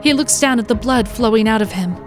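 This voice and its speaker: A woman's voice: on the high side, fairly quick, natural voice. A woman narrating a scripted fantasy drama as its storyteller. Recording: music behind the voice.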